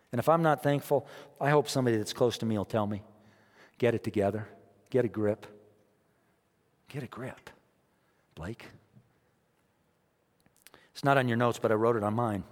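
A man preaching a sermon as pastor, emphatic, repeating his phrases and swinging between quiet and loud. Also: mid-range voice, long reverb tail. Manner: emphatic, repeats phrases, rises and drops in loudness.

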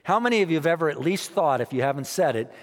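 Male pastor, emphatic repeating sermon delivery, slightly high voice, long hall reverb. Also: lively intonation, fast talker. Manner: emphatic, repeats phrases, rises and drops in loudness.

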